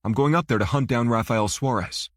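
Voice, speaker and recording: A man's voice: medium pitch, a little fast, no room sound, clean studio sound, natural voice. A man voicing a terse, guarded male investigator, the hero of an audiobook thriller.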